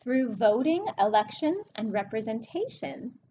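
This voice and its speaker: A woman librarian reading a picture book aloud to children, expressive and explanatory, pausing to speak to her young listeners. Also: on the high side, dull audio, slight background noise. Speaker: children's librarian hosting story time.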